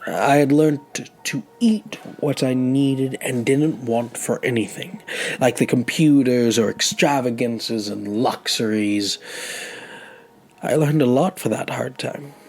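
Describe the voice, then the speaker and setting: An actor playing an older, humble, grateful man who tells his story in halting phrases in an audio drama. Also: mid-range voice, slightly rough. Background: music.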